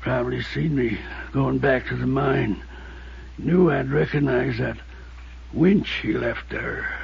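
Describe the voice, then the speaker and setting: An actor playing a weak, wounded, distrustful old prospector in a 1950s radio drama, speaking in halting fragments with a gravelly voice. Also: male voice, mid-range voice. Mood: weak, bitter. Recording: muffled, faintly noisy 1950s radio recording.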